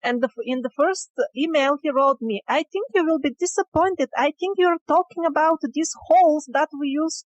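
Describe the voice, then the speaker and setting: A woman's voice: sits high, moderate pace, hardly any pauses, no room sound, muffled recording. A woman interview guest explaining her field findings conversationally, with emphatic but hesitant phrasing. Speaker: interview guest, independent researcher.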